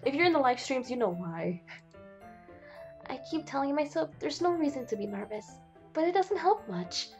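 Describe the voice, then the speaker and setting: A woman's voice: sits high, expressive, normal speed, soft background music. A young woman hosting a let's play, reading the game's text aloud and reacting casually, with frequent swearing. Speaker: gaming channel host.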